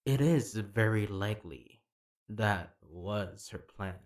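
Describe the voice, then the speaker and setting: A man's voice: on the low side, slow. A man playing a cold, solemn, calculating council member in a fantasy audio drama, formal and portentous.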